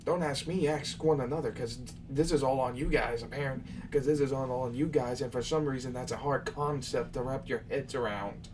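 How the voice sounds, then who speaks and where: A man's voice: medium pitch, moderate pace, hardly any pauses. A young man hosting a casual live question session, talking loosely and lecturing his viewers with some exasperation. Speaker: channel host answering viewer questions. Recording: far from the mic with faint background noise.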